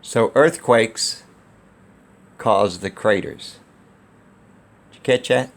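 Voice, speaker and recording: A man's voice: fairly low pitch, takes it slowly. A man giving casual sceptical commentary in a sardonic, dismissive way. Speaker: video commentator.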